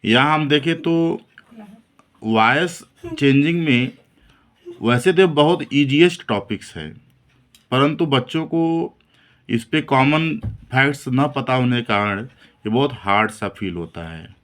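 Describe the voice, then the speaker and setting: A man's voice: mid-range voice, lively intonation, slow, a bit bright. A man explaining a lesson as a teacher, in a didactic way that repeats and rephrases key points. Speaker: English grammar teacher. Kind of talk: classroom-style lesson explanation in Hindi mixed with English terms.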